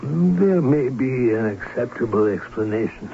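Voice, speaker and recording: A fussy proud baron dad in an old radio play, theatrical, muffled tape with some hiss. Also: male voice, mid-range voice, expressive, a little slow, gravelly. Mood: consoling. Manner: grandiose, theatrical, formal. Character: proud, fond baron father, hearty and fussing host. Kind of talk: old-time radio drama acting. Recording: dull, noisy old radio recording.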